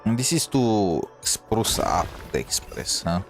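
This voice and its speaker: A man's voice: fairly low pitch, very animated, takes it slowly. A man giving casual, reactive commentary as a game streamer. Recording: faint game music behind the voice.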